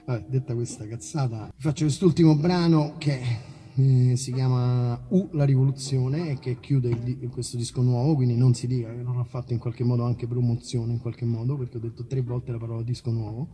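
Mellow-voiced man chatting from stage. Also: mid-range voice, fairly even intonation, brisk, soft background music. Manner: self-deprecating, joking, absurdist storytelling. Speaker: musician, performer. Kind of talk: casual rambling stage banter between songs. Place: outdoor festival stage in a park.

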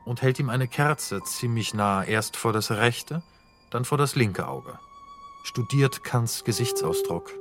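A man narrating the scene directions of a radio drama in a measured, factual, neutral manner. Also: mid-range voice, a bit bright. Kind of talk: scripted narration of stage directions.

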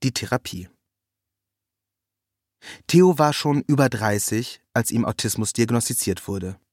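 A man's voice: medium pitch, animated, a little slow, darkish tone, dry recording, clean studio sound. A man reading a scripted first-person literary narrative as its narrator.

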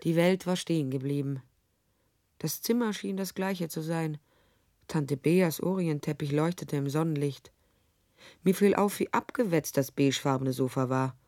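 A woman's voice: sits low, normal speed, warm tone. Dry, hard-boiled female private eye. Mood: tense, dry narration. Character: tough sardonic female private detective narrating her case. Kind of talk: radio drama dialogue and first-person narration.

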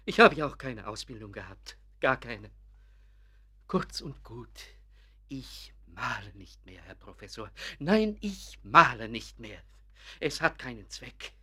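A man's voice: mid-range voice, big pitch swings, takes it slowly, sharp tone, breathy edge, exaggerated voice. A man, an actor in a German radio play, playing an aggrieved middle-aged amateur painter who is wounded, self-doubting and repeats his words bitterly. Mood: dejected, resigned. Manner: agitated, repeats words bitterly, stammering outbursts.

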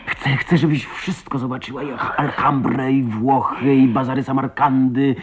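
An actor playing an ardent, proud man in love with his wife, in impassioned, halting 1980s Polish radio drama style. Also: mid-range voice, little pitch movement, noisy background, lightly acted. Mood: relieved, tender. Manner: impassioned, emotional, halting delivery. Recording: dull lo-fi radio play recording.

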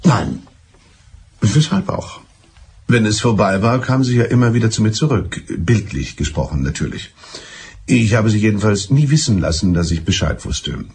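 An actor in a German radio drama playing a mild, evasive, smugly clever betrayed husband who talks in a deliberate, circuitous way. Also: male voice, lowish voice, lively intonation, a little mellow, a little hiss or hum. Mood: wistful, matter-of-fact.

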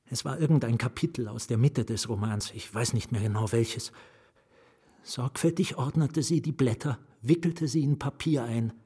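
An actor playing an anguished, fearful novelist in a radio drama, narrating his own ruin with a husky voice that drops into whispers. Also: medium pitch, mellow, close to an everyday voice. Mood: subdued. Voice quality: husky, breathy. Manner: deliberate, dropping into whispers. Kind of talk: literary radio drama first-person narration.

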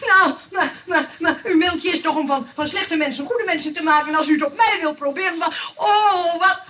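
An actress playing a fussy, easily hurt nurse who stammers indignantly in an old radio play. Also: female voice, extremely high pitch, fairly quick, bright, forceful tone, recorded from a distance.

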